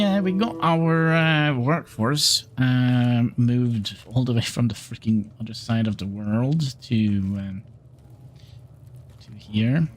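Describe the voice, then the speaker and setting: Slow-talking man streaming a game. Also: mid-range voice, a little mellow, slight background noise. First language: Norwegian. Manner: unhurried, reads game dialogue aloud, chats with viewers. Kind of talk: livestream gameplay commentary.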